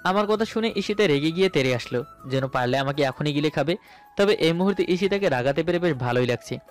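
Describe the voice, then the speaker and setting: A man's voice: a little high, expressive, quick pace. A man narrating a romantic story dramatically in the first person, as its male lead. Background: background music.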